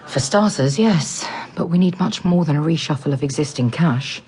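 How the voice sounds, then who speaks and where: A woman's voice: deep voice, moderate pace, warm tone, slight background noise, close to an everyday voice. A woman acting in a radio drama as a driven, frustrated infectious disease doctor, speaking insistently and articulately. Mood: urgent, insistent.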